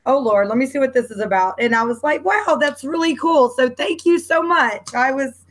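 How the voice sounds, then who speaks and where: A woman's voice: high-pitched, normal speed. A woman hosting a casual online book club panel, chatty and rambling, laughing easily, on a home computer mic during a video call.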